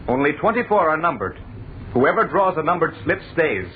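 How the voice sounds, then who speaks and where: An actor playing the terse, authoritative leader of centuries-old conspirators in an old-time radio horror drama. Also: male voice, on the high side, big pitch swings, moderate pace, slight background noise. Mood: brisk command. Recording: narrow, muffled old radio broadcast.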